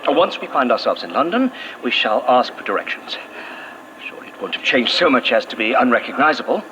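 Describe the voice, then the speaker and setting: A man's voice: mid-range voice, sing-song, fairly quick, background noise, natural voice. A man playing an anxious, gallant Victorian husband in a horror audio drama, formal in phrasing and easily flustered. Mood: composed, resolute.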